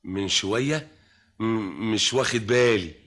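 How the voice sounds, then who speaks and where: An actor playing a meek, bumbling male office clerk in an old Egyptian radio comedy, stammering and animated with an Egyptian accent. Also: lowish voice, measured pace. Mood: puzzled, eager.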